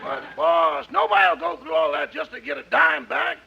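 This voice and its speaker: An actor playing a wry household valet in 1950s radio comedy, with a raspy, gravelly voice and sly but respectful delivery. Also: male voice, extremely high pitch, normal speed, exaggerated voice. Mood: dutiful, wry. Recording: muffled, slightly noisy 1950s radio recording.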